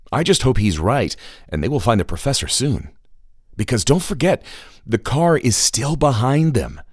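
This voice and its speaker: A man's voice: mid-range voice, dry recording, studio quality. A man narrating a children's story, musing aloud and building suspense as he addresses the listener.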